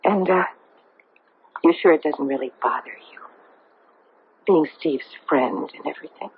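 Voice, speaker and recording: An actress playing a married war survivor, coolly seductive and vengeful, with deliberate theatrical pauses in a radio mystery drama. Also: female voice, sits low, close to an everyday voice. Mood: coy, secretive. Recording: noisy, tinny band-limited old radio broadcast.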